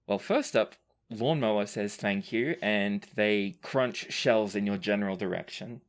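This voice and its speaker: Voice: low voice, measured pace. A podcast host and game master reading listener questions aloud and chatting casually, in a conversational, playfully emphatic way.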